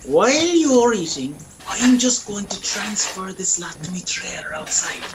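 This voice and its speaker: Man playing excitable Irish rural schemer, radio play, very lively pitch, unhurried delivery, cajoling, noisy recording. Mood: coaxing, sly. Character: scheming, excitable Irish countryman sneaking about a horse farm. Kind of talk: radio play acting and first-person storytelling.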